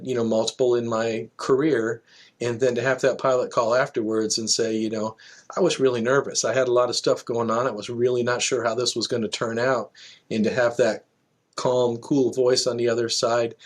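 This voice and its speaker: Middle-aged man, mid-pitched, conversational podcast guest. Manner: explanatory, illustrates with examples and quotes. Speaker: guest, air traffic control manager. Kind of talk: conversational interview, anecdotal.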